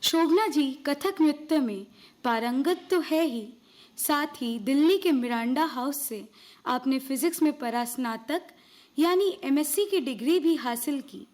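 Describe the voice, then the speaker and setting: A woman's voice: high voice, animated, a little slow, a little mellow. A female presenter giving a formal, recited scripted narration on stage in a declamatory manner. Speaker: stage presenter in a school performance.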